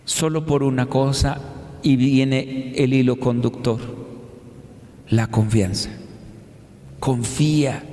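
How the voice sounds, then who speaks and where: Slow-spoken Mexican priest in an echoey church. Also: male voice, mid-range voice, fairly even intonation, mellow. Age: 50s. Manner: conversational, rhetorical questions, deliberate pauses. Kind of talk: homily. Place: large reverberant church. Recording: echoey hall with long reverb.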